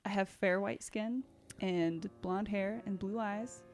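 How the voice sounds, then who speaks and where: A woman playing a tabletop game, hesitant and halting, describing her character with many fillers. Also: mid-range voice, background noise. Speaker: player. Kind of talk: casual chat, describing a character.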